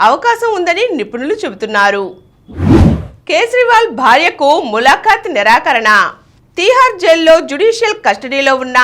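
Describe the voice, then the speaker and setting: A woman's voice: sits high, a bit bright. A woman reading a scripted news bulletin. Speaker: news reader.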